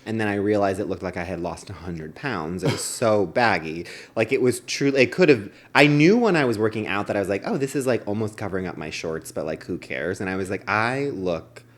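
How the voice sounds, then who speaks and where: A man's voice: fairly low pitch, animated, brisk, few pauses. A man co-hosting a casual comedy chat with campy, self-deprecating, dramatic delivery and sibilant S sounds. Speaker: co-host.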